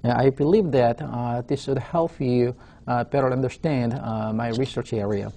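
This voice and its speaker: A man in his forties from South Korea with a Korean accent, a professor giving a webcast lecture in a halting way, filling his pauses with "uh". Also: medium pitch, fairly even intonation, vocal fry, dark tone, slight background noise. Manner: halting, frequent "uh" fillers. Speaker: university professor.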